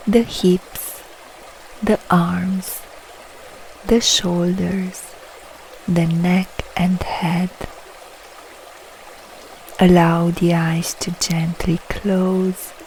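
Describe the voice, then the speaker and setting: Female meditation guide, lowish relaxed voice, soothing phrases, light trickling noise behind. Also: rough recording. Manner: soothing, unhurried, soft short phrases with long pauses. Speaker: yoga nidra meditation guide.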